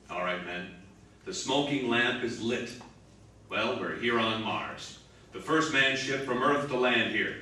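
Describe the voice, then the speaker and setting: A man's voice: medium pitch, bright, forceful tone. A man acting as a stern, authoritative captain of a naval spaceship in a science fiction radio drama. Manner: authoritative, clipped orders. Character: stern commanding male captain of a naval rocket ship. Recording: very echoey room, distant mic, faint noise.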